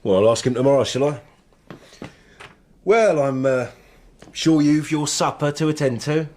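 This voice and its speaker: An actor as a brusque husband. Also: male voice, on the high side, a little slow, darkish tone, close to an everyday voice. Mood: curt, irritated. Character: curt, defensive, domineering husband. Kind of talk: radio drama acting.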